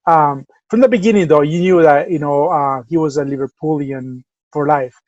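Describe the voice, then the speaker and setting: A man's voice: on the high side, normal speed, darkish tone, no room sound, lo-fi sound. A man with a Salvadoran accent co-hosting a podcast, chatting easygoing and rambling with lots of fillers. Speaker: podcast co-host. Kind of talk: casual chat.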